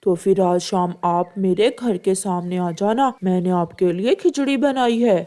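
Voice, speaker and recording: A woman voicing a clever, smooth-talking male pigeon in a children's cartoon story, with a coaxing, deliberate delivery. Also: medium pitch, dark tone, close to an everyday voice. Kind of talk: animated children's moral story voice acting.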